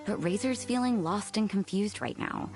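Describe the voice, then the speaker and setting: A woman playing a cool, aloof young woman who is blunt but quietly caring, in a video game. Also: medium pitch, moderate pace, soft background music, natural voice.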